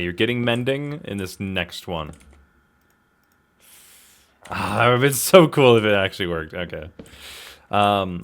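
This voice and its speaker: A man giving casual gameplay commentary, talking to himself in a slangy, self-deprecating, exclamatory way. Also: low voice. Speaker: gaming video host.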